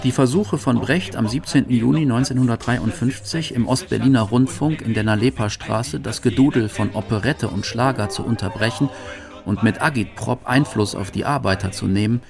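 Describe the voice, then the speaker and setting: A man reads scripted documentary narration in pronunciation. His voice has a gravelly creak at a middling pitch, with faint music underneath. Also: moderate pace.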